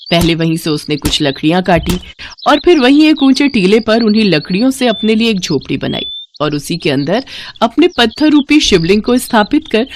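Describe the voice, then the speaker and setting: A woman reading a cartoon bedtime story aloud, with a mid-pitched voice that rises and falls with plenty of life. Her delivery is expressive and scripted, and faint noise sits in the background. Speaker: narrator.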